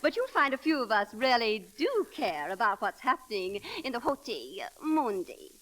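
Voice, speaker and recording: A bossy American social climber in an old radio comedy. Her high, bright voice swoops with affected, gushing airs as she gives orders. The recording is crackly. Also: big pitch swings, moderate pace. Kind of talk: 1940s radio comedy acting.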